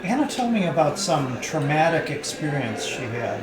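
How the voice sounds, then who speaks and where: A man's voice: on the high side, very animated, moderate pace, loud music behind the voice. A man reading the part of an earnest, probing friend in a novel, with a questioning manner. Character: earnest, probing, mildly annoyed male friend. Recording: echoey roomy live reading.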